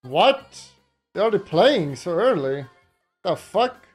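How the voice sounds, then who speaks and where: A man's voice: high voice, big pitch swings, takes it slowly, breathy edge, faint music behind. A man chatting casually on a livestream as he reacts to a broadcast, blunt and exasperated, swearing often. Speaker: live streamer reacting to a tournament broadcast. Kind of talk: casual livestream chat and commentary.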